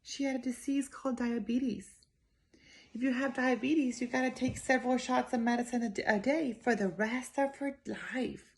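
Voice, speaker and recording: A woman reading a children's story aloud in an expressive, emphatic way, speaking directly to her young listeners. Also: sits high, moderate pace.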